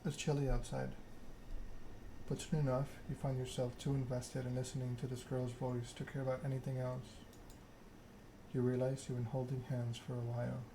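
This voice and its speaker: A man narrating a story game aloud in ASMR style, reading in a measured way with occasional stumbles. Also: medium pitch, flat delivery, background noise.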